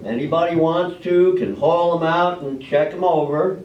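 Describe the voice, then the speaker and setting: A man playing a genial, folksy small-town official in an amateur staged reading, with halting homely speech. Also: on the high side, slight background noise, natural voice. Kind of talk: amateur readers theater stage acting. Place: live play in a public library room. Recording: echoey room, distant mic, dull sound.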